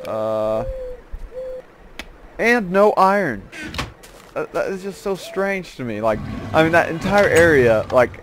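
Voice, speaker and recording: A man giving casual, rambling game commentary as host, drifting into joking asides. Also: mid-range voice. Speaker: host of a gaming series. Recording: clear background noise with clicks.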